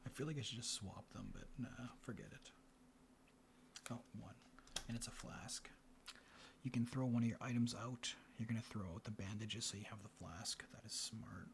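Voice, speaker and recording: A man hosting a board game in ASMR style, mixing soft speech and whispers as he murmurs an unhurried play-by-play. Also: lowish voice, steady tone. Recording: clear background noise. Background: room ambience, board game handling sounds.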